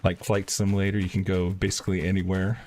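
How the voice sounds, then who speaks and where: Deep-voiced man streaming a game. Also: monotone, fast, a little mellow, slight background noise. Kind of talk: casual gaming livestream chat.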